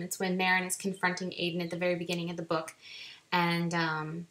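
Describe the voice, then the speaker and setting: Bright-voiced woman vlogging in an echoey room. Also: mid-range voice. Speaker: author making a challenge video. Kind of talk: casual vlog chat. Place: small home office, indoors. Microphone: webcam at arm's length. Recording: roomy echo, faint handling noise.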